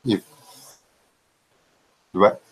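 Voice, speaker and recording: A man rambling casually to his live chat viewers in a slow, drawling, low-key voice that some find relaxing and others boring. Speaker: live stream host chatting with viewers.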